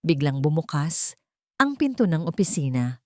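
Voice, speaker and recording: A woman's voice: sits low, big pitch swings, moderate pace, no echo, studio quality. A woman narrating a scripted romance story in a dramatic storytelling style.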